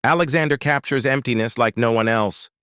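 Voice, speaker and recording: A man voicing a smooth male gallery owner who talks like a salesman. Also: mid-range voice, brisk, a bit bright, no room sound, clean studio sound, voice pushed up, lightly acted. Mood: salesy.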